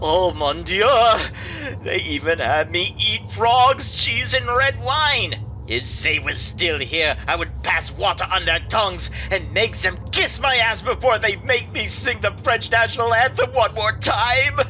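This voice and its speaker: A man's voice: high-pitched, lively intonation, measured pace, bright, forceful tone, slight background noise, full character voice, low-quality recording. A man playing a hot-tempered male security chief who talks with a heavy put-on French accent. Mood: ranting. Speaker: head of security.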